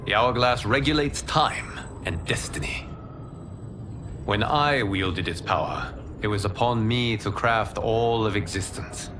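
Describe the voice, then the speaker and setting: An actor playing a humble former god in a video game, speaking in a formal, measured, earnest way. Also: male voice, fairly low pitch, background noise. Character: solemn, humble former god and protector of Earth. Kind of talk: dramatic video game cutscene acting.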